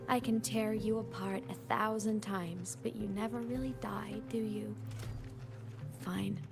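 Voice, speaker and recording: A woman's voice: mid-range voice, fairly even intonation, takes it slowly, vocal fry, pauses often, music in the background. A woman voicing a young video game heroine with a theatrical, dramatic delivery and wounded bravado. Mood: taunting, bitter. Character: young heroine, vengeful toward her foe, wounded bravado.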